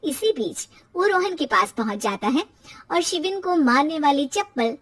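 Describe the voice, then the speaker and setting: A woman's voice: high-pitched, animated, moderate pace, dry recording. A woman reading scripted narration for a cartoon story.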